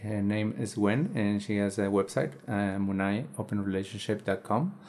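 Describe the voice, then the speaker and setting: Low gravelly man musing aloud. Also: darkish tone. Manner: halting, restarts and repeats words while thinking aloud. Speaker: podcast co-host. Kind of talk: casual chat.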